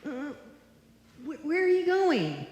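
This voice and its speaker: Fretful, coaxing young roommate played by a woman, high and unhurried, old radio comedy. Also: very animated, heavily acted. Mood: anxious. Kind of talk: old-time radio comedy acting before a live audience. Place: live stage performance in a hall. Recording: echoey hall from far off, faint noise.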